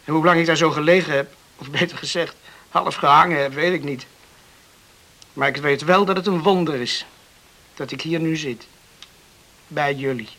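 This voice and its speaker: Earnest young islander, storm survivor: high, forceful bright voice, halting and emotional radio-play delivery. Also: male voice. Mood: sober recollection. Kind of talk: radio drama acting.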